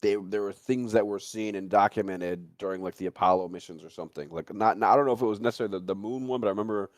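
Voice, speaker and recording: Low-voiced man, dry close sound. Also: fast talker, dry recording. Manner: rambling, swearing, slangy. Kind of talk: casual chat.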